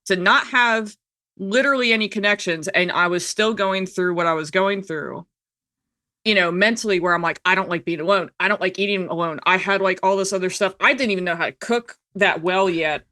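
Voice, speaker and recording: A woman in her thirties with an American accent and a bright, forward voice. She talks casually and candidly as an interview guest, chatty and emphatic, slipping quoted remarks into her stories. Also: mid-range voice, fairly quick. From United States. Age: 30s. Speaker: podcast guest, filmmaker. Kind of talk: casual personal storytelling in conversation.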